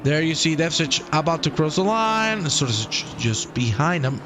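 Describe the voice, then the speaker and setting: A man giving live commentary on an online car race, enthusiastic and joking, rising loud and high as the winner crosses the line. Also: very animated, moderate pace, background noise. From half Croatian. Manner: enthusiastic, jokey, swells loud and high at the finish. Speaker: sim racing commentator.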